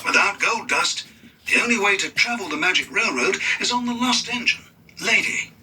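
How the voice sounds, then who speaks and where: A man's voice: sits high, animated, normal speed, pushed and bright, far from the mic, slight background noise, pitched above the performer's own voice, heavily acted, low-quality recording. A man voicing an earnest, encouraging magical railway conductor in a children's storybook, with an urging lilt.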